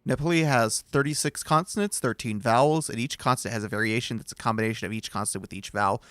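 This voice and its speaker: A man's voice: mid-range voice, quick pace, a bit bright, no room sound. Man, streamer, laid-back chatter with laughs and swearing, dead-dry sound. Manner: reads game lines aloud, laughs and swears. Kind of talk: casual chat over a game.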